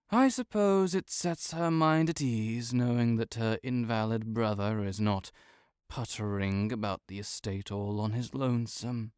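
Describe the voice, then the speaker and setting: Man playing a slow, haughty, bitter nobleman. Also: on the low side, a little mellow, smooth, no echo, studio quality. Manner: haughty, archaic formal diction, disdainful drawl. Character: proud, bitter, sardonic invalid nobleman, wasting away. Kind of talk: scripted audio roleplay monologue.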